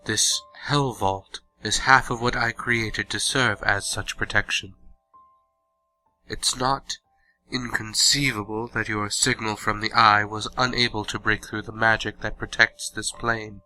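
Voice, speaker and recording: An actor playing an ancient, haughty vampire lord in a fantasy audio drama, speaking in a formal, measured, condescending way. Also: male voice, fairly low pitch, fairly even intonation, bright, forceful tone, faint music behind, rough recording. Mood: defensive, haughty.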